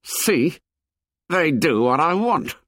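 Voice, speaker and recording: A man's voice: a little high, big pitch swings, slow, a bit bright, dry recording, clean studio sound, pitched above the performer's own voice, exaggerated voice. A man voicing a cruel, domineering schoolboy chief who barks curt orders and sneers. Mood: commanding, sneering. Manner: curt barked commands, sneering.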